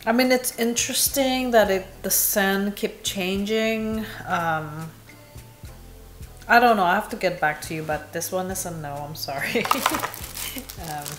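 A young woman from Indonesia reviewing perfumes in casual, candid chat, laughing at herself, filmed in a small bright room. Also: medium pitch, roomy sound. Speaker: beauty vlogger.

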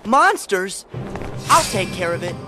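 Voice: mid-range voice, big pitch swings, measured pace, music in the background. An actor playing a brave, upbeat teenage boy hero in a video game, eager and direct. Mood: urgent, bold.

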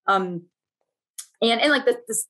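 A woman's voice: a little high, a little slow, a bit bright, rough recording. A woman in her thirties, from Puerto Rico, with an accent shaped by Mexican Spanish, speaking thoughtfully on a video-call panel. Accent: Mexican-influenced. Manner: thoughtful, restarts often. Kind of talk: casual panel discussion. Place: home office, indoors.

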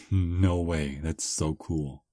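A man voicing a friendly 215-year-old male vampire on a first date in an ASMR roleplay, soft-spoken and slightly halting. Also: a bass voice, steady tone, no room sound, heavily acted. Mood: easygoing.

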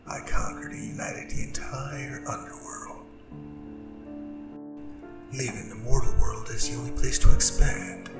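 Over clear background music comes a proud, menacing demon king acted by a man. His voice is a gravelly half-whisper, slow and grandiose, set in a roomy echo. It is a seductive, vengeful audio roleplay villain. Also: medium pitch. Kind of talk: ASMR audio roleplay, dramatic monologue. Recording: roomy reverb, distant, music behind.

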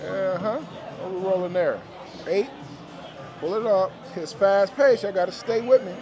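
A man's voice: high-pitched, measured pace, poor audio. A man giving casual, slangy play-by-play to viewers as he gambles, often repeating numbers and phrases. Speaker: gambling vlogger. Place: busy indoor casino floor. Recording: busy casino floor, music, crowd chatter. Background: music and crowd chatter.

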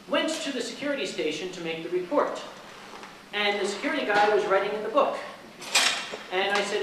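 A man telling a comic story to a live audience in a large lecture hall and acting out the voices in it, heard through a handheld mic from far off. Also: high voice. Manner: animated, acts out the voices in his story. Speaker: convention storyteller. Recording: echoey hall from far off, noisy.

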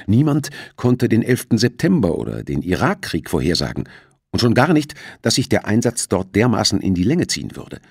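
A man's voice: lowish voice, huge pitch swings, normal speed, gravelly, a little mellow, slightly rough, no echo, studio quality, pitched above the performer's own voice, heavily acted. A man voicing a senior shipping executive in an audiobook, soothing and placating but uneasy. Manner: placating businessman.

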